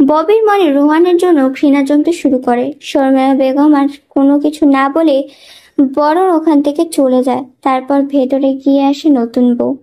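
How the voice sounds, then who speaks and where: Female story narrator, high voice, scripted dramatic storytelling. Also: steady tone, unhurried, warm tone.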